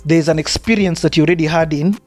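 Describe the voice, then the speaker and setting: A man's voice: a little high, a little mellow, faint music behind. A man hosting an interview, asking questions and switching into Swahili. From Kenya. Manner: prompting, asks to slow down, code-switches to Swahili. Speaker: interview host. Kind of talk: casual interview.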